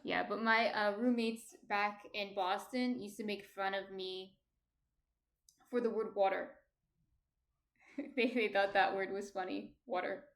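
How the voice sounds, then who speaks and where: A soft-spoken woman in her thirties with a New Jersey accent, casually chatting and answering viewer comments on her stream. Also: mid-range voice, steady tone, unhurried, pauses often. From New Jersey, USA.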